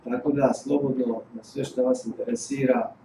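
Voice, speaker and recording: Male course organizer, monotone, filler-heavy; distant mic, reverberant noisy room. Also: mid-range voice, fairly quick. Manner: hesitant, many fillers. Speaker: course organizer introducing the speaker.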